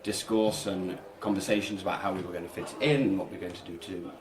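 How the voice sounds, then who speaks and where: A man with a fairly low voice speaks earnestly, as a teacher being interviewed. He is halting but emphatic. The room is noticeably echoey, with other people heard behind him. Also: steady tone, crowd noise. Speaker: primary school teacher. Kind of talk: radio interview, conversational explanation. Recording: roomy echo, people chattering behind.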